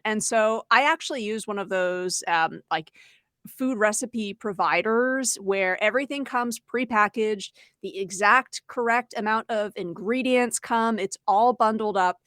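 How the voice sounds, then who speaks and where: A woman's voice: medium pitch, measured pace, a bit bright, no echo, studio quality. A woman co-hosting an education webinar, explaining ideas in a conversational way. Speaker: podcast co-host and education trainer.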